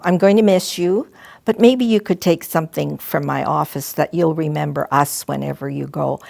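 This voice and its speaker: An older woman, a retired school principal, telling gentle, halting stories and advice to students. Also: low voice, creaky, no room sound. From Canada. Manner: gentle, halting, with frequent restarts and soft asides. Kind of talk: reflective storytelling to students.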